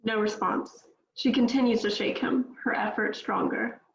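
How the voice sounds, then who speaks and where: A woman's voice: on the high side, fairly even intonation, moderate pace. A woman reading stage directions aloud at a script table read. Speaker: script reader. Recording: echoey room, dull lo-fi sound.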